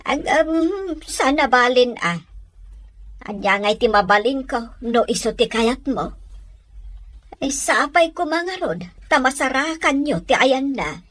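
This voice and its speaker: A woman playing a gentle, worrying provincial mother in an Ilocano comedy drama. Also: high voice, expressive, slow, no room sound, exaggerated voice. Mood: tender, concerned.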